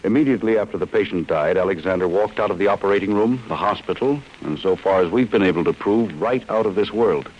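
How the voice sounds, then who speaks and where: Stern, very deep-voiced investigator. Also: male voice, darkish tone. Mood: grim, resolute. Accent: American. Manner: stern, commanding, deliberate. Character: cold, relentless male chief insurance investigator. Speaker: actor in a radio detective serial. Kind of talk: old-time radio acting. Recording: muffled, hissy old radio broadcast.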